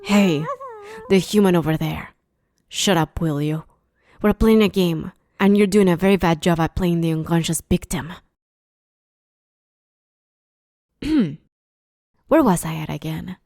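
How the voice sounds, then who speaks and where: Girl doing a playful evil vampire lady for asmr roleplay, soft dark tone, mocking and sweet but threatening, super dry close sound. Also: fairly low pitch, measured pace, vocal fry, no room sound. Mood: playful threat. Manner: teasing, mockingly sweet, menacing, often hushed. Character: playful, sadistic female vampire taunting her captive. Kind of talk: ASMR roleplay, dramatic monologue.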